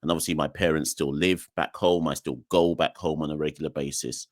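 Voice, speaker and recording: A man's voice: a bass voice, steady tone, brisk, no room sound, studio quality. A man from Jamaica hosting a cricket podcast in a casual, emphatic way, with lots of fillers and tag questions. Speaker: podcast host. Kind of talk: casual solo podcast talk.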